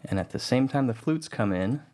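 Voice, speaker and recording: A man's voice: medium pitch, animated, a little fast, over loud music. A man giving an informal, conversational tutorial voice-over, explaining things as a producer. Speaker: audio drama producer, tutorial presenter.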